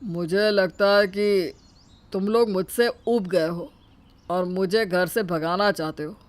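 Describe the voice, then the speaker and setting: A woman's voice: medium pitch, slow, close to an everyday voice. A woman voicing a shameless older uncle in a family story, wheedling and leisurely as an overstaying guest. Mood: mock offended.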